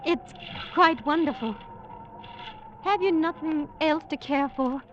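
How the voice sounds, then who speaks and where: An actress playing a sheltered, fearful, wistful young woman in a vintage radio drama, earnest and halting in delivery. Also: high voice, a bit bright. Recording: muffled, noisy old radio recording.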